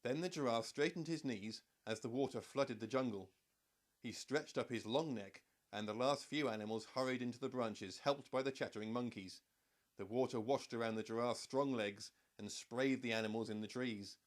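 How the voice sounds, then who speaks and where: A man reading a children's story as an audiobook narrator, in a measured, expressive storytelling manner. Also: mid-range voice.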